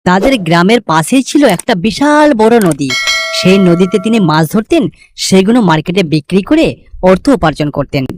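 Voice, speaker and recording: Casting: woman storyteller for cartoon folk tales, scripted narration with widely varied pitch. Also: darkish tone, no echo.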